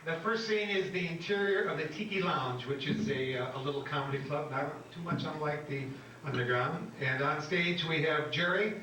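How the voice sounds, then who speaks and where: A man's voice: a little high, moderate pace. A man reading stage directions informally as the director at a staged script reading. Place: comedy club. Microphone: stage microphone. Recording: noisy, echoey, distant club recording.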